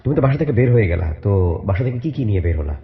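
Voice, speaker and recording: A man's voice: lowish voice, big pitch swings, very fast. A man with a Bangladeshi accent hosting an interview, asking short conversational questions and giving brief prompts. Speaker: radio show host, interviewer. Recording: roomy, slightly dull audio with music. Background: background music.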